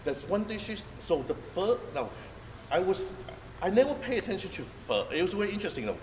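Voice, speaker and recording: A man talks through a market tour in a halting way, circling back on his words. His voice sits at an ordinary pitch but comes from a distance. The sound is echoey and roomy, with clear street and traffic noise behind him. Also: sing-song. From Vietnam. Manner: halting, many restarts and fillers, thinks aloud while explaining. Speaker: chef and tour guide. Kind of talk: informal guided-tour talk. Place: outdoors on a busy street near a market.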